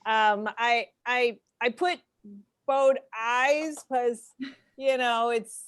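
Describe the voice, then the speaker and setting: A woman playing a hesitant, soft-hearted game show contestant who talks in a halting, apologetic way. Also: fairly high pitch, fairly even intonation, smooth. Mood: hesitant, sympathetic. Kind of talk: improvised comedy.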